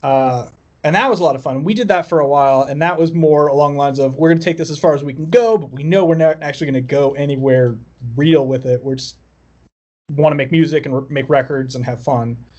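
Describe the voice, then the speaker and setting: Higher-voiced man, self-deprecating casual storyteller. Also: quick pace, a little mellow, lo-fi sound. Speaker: musician guest on a podcast. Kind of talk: casual chat, personal storytelling.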